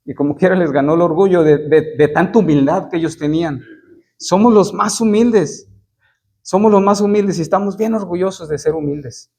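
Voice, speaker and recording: A man preaching a Bible sermon, reading verses slowly and explaining them in plain words, often stopping to repeat and restart words. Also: high-pitched, a little mellow. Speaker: Baptist preacher.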